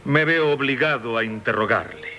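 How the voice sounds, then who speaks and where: An actor with a Castilian Spanish accent playing an insistent, formally courteous examining magistrate in 1970s radio theatre. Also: male voice, medium pitch, moderate pace, bright, forceful tone, natural voice. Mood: stern, official. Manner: formal, probing, insinuating.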